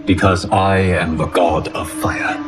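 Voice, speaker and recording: An actor playing a calm, stately god of fire in a video game, with formal, measured and grave speech. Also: male voice, low voice, over loud music. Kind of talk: cinematic video game acting.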